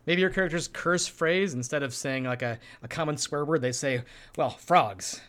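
A man's voice: fairly high pitch, fairly quick, a bit bright, smooth. A man co-hosting, talking through tabletop roleplay tips in a casual, conversational teaching style. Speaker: podcast co-host giving roleplay tips.

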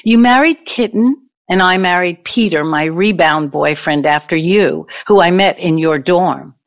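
A woman's voice: lowish voice, measured pace. Woman acting a blunt, bitter ex-girlfriend. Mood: wistful, hurt. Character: bitter, incredulous middle-aged ex-girlfriend, a pianist. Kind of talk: audio play acting.

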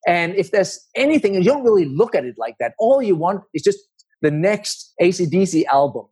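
Husky middle-aged man with Danish accent. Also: high voice, animated, moderate pace, darkish tone. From Denmark. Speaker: interview guest, entrepreneur. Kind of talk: conversational interview storytelling.

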